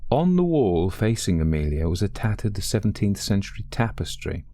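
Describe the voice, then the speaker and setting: A man narrating a literary ghost story in a deliberate, dramatic storytelling manner. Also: on the low side, sing-song, mellow, no room sound, studio quality.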